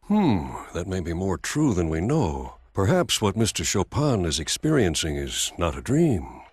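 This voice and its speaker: A man voicing a thoughtful, philosophical man in a video game, measured and pondering in delivery. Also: low voice, huge pitch swings, breathy edge, no echo, heavily acted. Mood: pensive.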